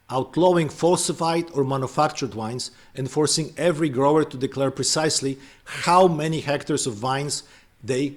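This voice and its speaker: A man's voice: a little high, slightly rough. A man hosting and narrating a scripted explainer, breaking off into hesitant, filler-heavy asides. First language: not French. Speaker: host.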